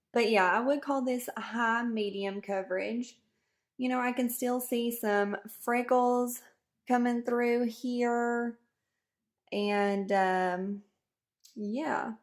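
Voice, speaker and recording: Woman vlogger with a cold, kinda hoarse, casual makeup review, talks through every step. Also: a little high. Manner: chatty, explains each step as she goes. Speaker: beauty vlogger. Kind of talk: casual product review to camera.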